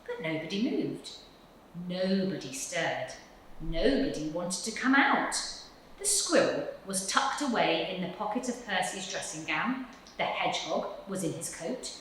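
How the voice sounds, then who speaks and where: A woman reading a children's picture book aloud with expressive, dramatic storytelling emphasis. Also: on the low side, measured pace, slight background noise. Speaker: story reader. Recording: very echoey room.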